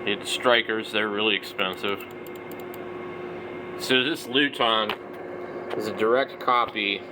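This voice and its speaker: A man's voice: on the low side, steady tone, slow, background noise. A middle-aged man giving casual, unscripted commentary as a hobby vlogger, blunt and opinionated, with some slangy wording.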